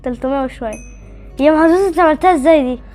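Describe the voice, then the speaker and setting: Child, Egyptian accent, extremely rapid speech, high voice, exclamatory gaming chatter, pleading repetition, faint music. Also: a little mellow. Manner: exclamatory, pleading, repeats phrases. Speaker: gaming video co-host. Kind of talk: excited casual chat while playing a video game.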